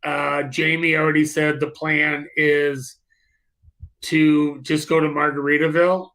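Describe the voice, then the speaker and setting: Guy w a real bright kinda high voice, rambly casual livestream co-host, repeats his words. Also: flat delivery, unhurried. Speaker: live show co-host. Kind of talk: casual chat on a live stream.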